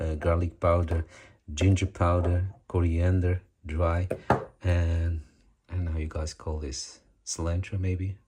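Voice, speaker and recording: A man hosting his cooking channel, explaining a recipe in a relaxed, rambling way and often correcting himself mid-sentence. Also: deep voice, background noise. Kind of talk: casual cooking tutorial.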